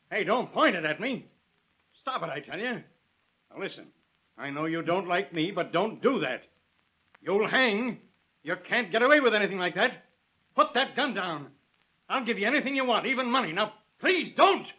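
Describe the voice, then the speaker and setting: A man's voice: high-pitched, breathy edge, full character voice. An actor playing a frightened man pleading for his life in a 1930s radio crime drama, on a muffled old recording. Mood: alarmed. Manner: pleading, panicked.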